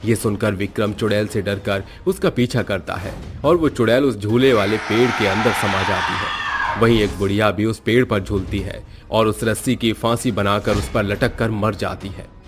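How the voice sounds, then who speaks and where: A man's voice: on the low side, moderate pace, over music. A man narrating a scripted horror story.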